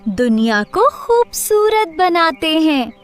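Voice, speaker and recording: Woman as a high, playful cartoon child. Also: very animated, slow pace, full character voice. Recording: music under the voice.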